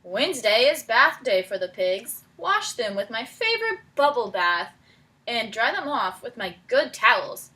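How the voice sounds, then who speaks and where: A woman reading a picture book aloud to children as their teacher, pausing to prompt them with questions in an encouraging way. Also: fairly high pitch, sing-song, bright, forceful tone. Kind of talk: read-aloud storytelling with questions to young listeners.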